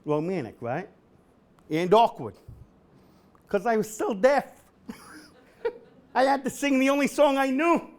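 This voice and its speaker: A middle-aged man doing a stand-up comedy set at an event, with a deadpan delivery that bursts into shouted singing. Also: very high-pitched, slow, long pauses. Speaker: comedian host. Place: event hall with a live audience.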